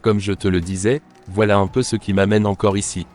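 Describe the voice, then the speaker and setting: Man playing a bitter jealous brother, low voice, resentful muttering, faint music behind. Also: level tone, fairly quick, a little mellow, close to an everyday voice. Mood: determined. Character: jealous, scheming grown man, older brother.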